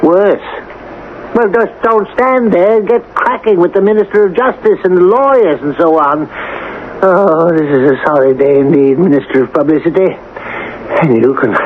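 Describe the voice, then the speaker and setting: A man's voice: high voice, normal speed, close to an everyday voice. An actor playing a fussy, pompous king in a radio drama, theatrical and impatient. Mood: exasperated. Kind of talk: 1970s radio drama acting. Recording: tinny, noisy old radio broadcast.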